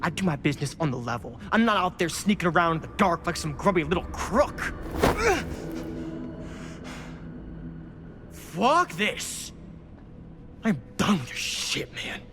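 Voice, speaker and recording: A man's voice: fairly high pitch, big pitch swings, normal speed, noisy background. An actor playing a slick, sarcastic, cocky male hustler with a mocking manner in a cinematic horror game. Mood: indignant.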